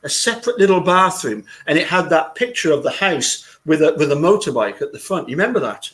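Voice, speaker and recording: Rambling chatty man, high voice. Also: big pitch swings, fairly quick, fairly bright tone. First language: English. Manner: rambling, repeats words, chuckles often. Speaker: live chat host. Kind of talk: casual chat.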